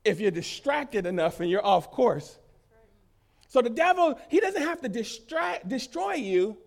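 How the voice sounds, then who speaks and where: A man preaching a sermon, emphatic, swinging between loud peaks and quieter stretches and repeating phrases for weight. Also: very high voice, very animated, unhurried, vocal fry. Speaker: pastor.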